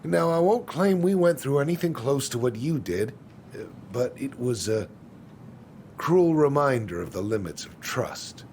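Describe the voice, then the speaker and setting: An actor playing an evasive, folksy middle-aged small-town man in a naturalistic video game drama, with a creaky voice. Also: mid-range voice, animated, unhurried, darkish tone, slight background noise. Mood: conciliatory, solemn.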